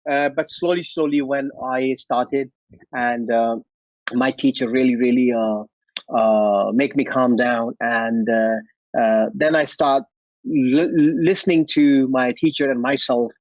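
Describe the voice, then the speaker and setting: A man's voice: mid-range voice, fairly even intonation, slow, darkish tone, dry recording, lo-fi sound. A man from India with an Indian English accent, a martial artist and actor answering interview questions in a friendly, chuckling way with loose grammar. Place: at home after a workout.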